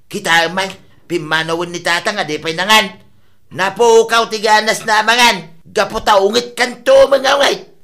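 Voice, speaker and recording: A woman's voice: mid-range voice, moderate pace, a bit bright, close to an everyday voice. A woman playing a plain-spoken younger member of a household, chiding and reasoning in a comedy radio drama. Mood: reproachful.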